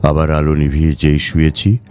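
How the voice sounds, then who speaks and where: A man reading a ghost story aloud in a measured, dramatic storytelling manner. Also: rumbling low, completely flat delivery, darkish tone. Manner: measured, acts out the dialogue. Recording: background music under the voice.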